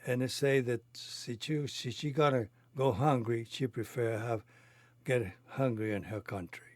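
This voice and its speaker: A man in his eighties from Cuba, a native Spanish speaker with a Cuban accent, recounting his life in halting, non-native phrasing. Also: mid-range voice, fairly even intonation, a little mellow, dry recording. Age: 80s. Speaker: interviewee telling his life story. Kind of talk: reminiscing conversation.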